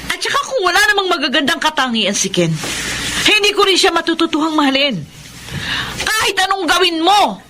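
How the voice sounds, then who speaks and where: An actress playing a generous, long-suffering younger sister and young mother, earnest and expressive, in a radio drama. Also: very high voice, a little fast, noisy background. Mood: hurt, pleading.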